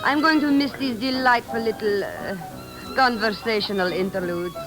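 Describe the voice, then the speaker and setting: A woman's voice: a little high, moderate pace, natural voice, low-quality recording. Woman playing a worldly, teasing countess spy, music behind. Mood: playful, teasing. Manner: arch, elegant, leisurely flirtatious banter. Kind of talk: 1940s-50s American radio drama acting. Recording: vintage radio recording with music behind.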